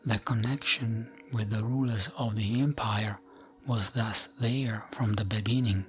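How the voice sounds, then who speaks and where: A man with a fairly low, breathy voice that often slips into a whisper. He reads fantasy lore like an ASMR bedtime tale, very slowly and soothingly, over faint background music. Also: steady tone. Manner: gentle, soothing, unhurried, with frequent pauses. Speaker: ASMR gaming channel host.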